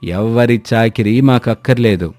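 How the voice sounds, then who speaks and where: A man playing a proud, stubborn old village elder who talks slowly and boastfully in a moral story. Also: mid-range voice, a little mellow, dry recording, natural voice. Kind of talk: dramatised moral-story dialogue.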